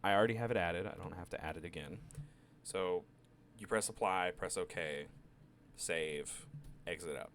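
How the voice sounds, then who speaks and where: A man giving a casual step-by-step software tutorial, sick with a cough. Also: low-pitched, normal speed, long pauses, slight background noise. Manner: relaxed, chatty, step-by-step instructions. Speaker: tutorial maker. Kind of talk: casual screen-recorded tech tutorial.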